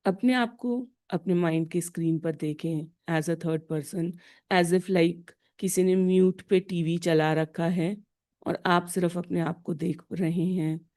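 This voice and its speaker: Woman, Indian accent, meditation guide, unhurried pace, lowish pitch, short deliberate phrases with pauses. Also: fairly even intonation, mellow. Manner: slow, deliberate, instructional, pausing between short phrases.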